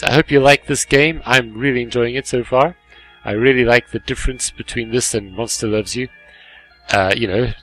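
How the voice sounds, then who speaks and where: A man's voice: lowish voice, a bit bright, low-quality recording. A man hosting a gaming video with casual, chatty, easily amused commentary on a game as he plays it. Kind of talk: casual let's play commentary, reading game dialogue aloud. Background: game music.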